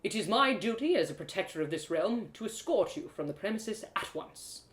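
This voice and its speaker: A woman's voice: medium pitch, lively intonation, fairly quick, natural voice. A woman voicing a self-righteous male elf guard in a storybook, with formal, mocking speech. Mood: officious. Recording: roomy echo.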